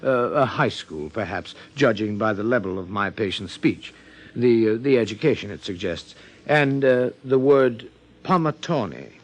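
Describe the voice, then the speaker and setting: Halting, husky, scholarly psychiatrist. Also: male voice, fairly low pitch, lively intonation. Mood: earnest, absorbed. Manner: halting, formal, deliberate phrasing. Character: earnest, hesitant, scholarly male psychiatrist. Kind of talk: 1950s radio drama acting.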